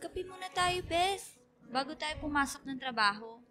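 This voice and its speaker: High-voiced, chatty young best friend. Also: female voice, animated, normal speed, pushed and bright, soft background music. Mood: breezy, friendly. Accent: Filipino. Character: chatty, pushy young woman, loyal matchmaking best friend. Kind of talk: Filipino radio drama acting.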